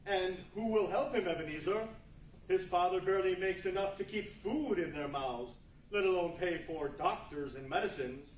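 A man's voice: high-pitched, moderate pace, lightly acted. A man playing a stern, mocking Christmas spirit with a grave, pointed manner in a radio play. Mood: grave, reproachful. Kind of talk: 1940s radio play acting. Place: large meeting hall before an audience. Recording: distant echoey hall, faint noise.

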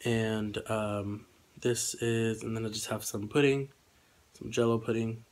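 A man's voice: lowish voice, level tone, unhurried. A young man with a slightly congested voice, chatting casually and hesitantly as he rambles through a vlog. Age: young adult. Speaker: vlogger. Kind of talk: casual eating vlog chat. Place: small room indoors at home.

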